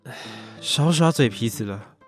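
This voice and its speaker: An actor playing a cool, sharp-tongued loner of a high-school boy in an audio drama, curt, grumbling and reserved. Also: animated, unhurried, soft background music, lightly acted. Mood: annoyed. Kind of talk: Mandarin audio drama acting.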